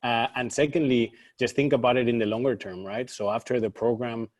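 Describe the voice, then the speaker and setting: A man's voice: mid-range voice, steady tone, brisk, darkish tone. A man on a panel speaking persuasively, repeating words as he thinks. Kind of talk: panel discussion.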